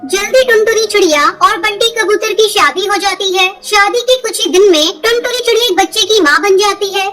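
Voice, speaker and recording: A woman's voice: very high voice, fairly quick. A woman narrating a scripted children's cartoon story.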